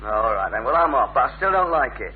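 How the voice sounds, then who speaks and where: A man's voice: medium pitch, very animated, quick pace, lightly acted. Man acting a shy lad, muffled old recording. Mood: grudging. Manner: hesitant, stammering when pressed. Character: shy, awkward, reluctant young northern lad. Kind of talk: 1970s British radio sitcom acting. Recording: muffled phone-like old radio recording, faint hum.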